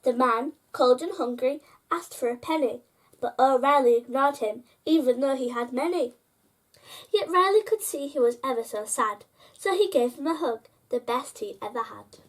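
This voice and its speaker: Woman, high voice, children's storyteller, bedtime reading, speaks directly to young listeners. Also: normal speed. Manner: addresses child listeners with prompting questions. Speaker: host reading children's picture books aloud.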